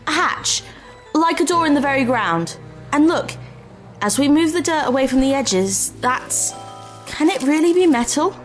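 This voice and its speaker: A woman's voice: sits high, animated, normal speed, faint music behind. A woman playing a curious, brave, level-headed adventurer in an audio drama, speaking in a precise, articulate way. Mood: intrigued.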